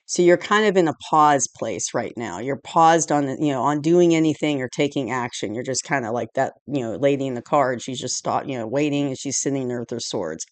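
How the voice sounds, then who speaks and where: A hoarse woman recovering from a cold, giving a rambling, casual tarot reading indoors at home, full of "you know" and "like". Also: a bass voice, brisk, few pauses, no echo. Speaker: tarot reader. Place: indoors at home, dim room lit by a candle and lamp.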